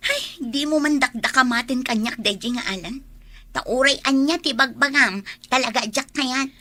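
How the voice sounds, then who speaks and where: A woman's voice: high voice, moderate pace, pushed and bright. An actress with an Ilocano accent playing a peevish, disdainful young woman in a radio drama. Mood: scornful, irritated. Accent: Ilocano, northern Philippines. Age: young adult. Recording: busy crowd noise behind. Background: crowd sounds.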